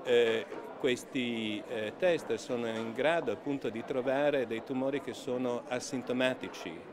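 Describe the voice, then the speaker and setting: A man's voice: mid-range voice, normal speed. A man explaining medical tests in an interview statement, hesitating often with fillers. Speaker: medical expert. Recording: crowd audible behind.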